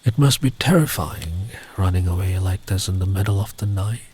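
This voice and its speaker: A man playing a big, kind traveller in the woods in an ASMR comfort roleplay, speaking gently and soothingly, often close to a whisper. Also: low voice, moderate pace. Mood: reassuring. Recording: clear background ambient noise.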